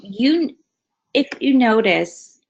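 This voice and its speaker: A woman's voice: fairly high pitch, animated, extremely slow, gravelly. Friendly woman from Hawaii presenting a webinar. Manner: friendly, conversational with frequent fillers. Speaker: guest presenter. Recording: slightly dull webinar audio.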